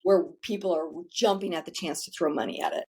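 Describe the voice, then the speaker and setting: A woman with a somewhat low voice, telling her story in a casual interview. She is candid and chatty, and drops the odd swear word without fuss. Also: a little fast, a little mellow, husky. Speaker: podcast guest, founder of a self-care center.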